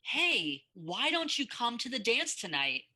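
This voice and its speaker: Woman playing a bright, cheeky young lad. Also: on the high side, very animated, measured pace, heavily acted. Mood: breezy. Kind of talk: old-time radio play acting.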